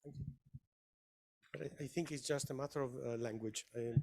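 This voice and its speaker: A man's voice: mid-range voice, steady tone, normal speed, creaky, darkish tone. A man presenting on a conference panel, explaining emphatically and checking in with his listeners. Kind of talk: conference Q&A answer.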